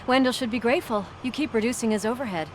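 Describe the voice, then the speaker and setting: An actress playing a streetwise woman ally, talking in quick, dry, sarcastic remarks, set against outdoor street noise. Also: fairly high pitch, natural voice. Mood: wry.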